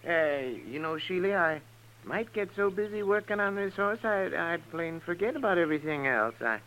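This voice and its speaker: A folksy, crusty town doctor of the Old West. His voice is highish and sharp with lively swoops, hesitant and fussy, in 1950s radio western style on a noisy old recording. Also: moderate pace, lo-fi sound, full character voice. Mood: hedging, earnest. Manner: hesitant, folksy, muttering exclamations.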